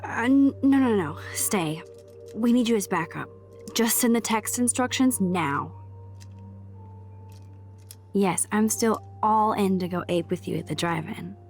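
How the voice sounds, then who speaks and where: A woman's voice: mid-range voice, measured pace, pauses often, a little mellow, close to an everyday voice. Actress as teenage student girl, American accent, nerdy, wry, quippy, musing to herself, video game dialogue, music behind. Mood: friendly, coaxing.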